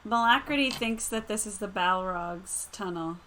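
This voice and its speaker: A woman's voice: mid-range voice, moderate pace, sharp tone, a little hiss or hum, rough recording. A giggly, playful woman chatting casually as a player in an online tabletop game. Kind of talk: casual chat, reading out viewer comments.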